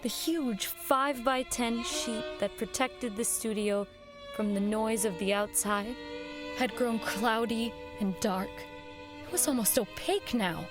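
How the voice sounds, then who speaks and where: A woman playing an uneasy radio producer who recalls eerie events in measured, dramatic audio drama narration. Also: fairly high pitch, natural voice. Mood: dread. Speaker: narrator and radio show director. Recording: violin music behind the voice.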